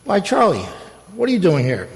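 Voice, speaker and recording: A man's voice: sits high, wildly swooping pitch, moderate pace, gravelly, exaggerated voice. A man acting a flustered, hesitant judge in an old-time radio play. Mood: puzzled. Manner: hesitant, hemming. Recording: reverberant hall, faint noise.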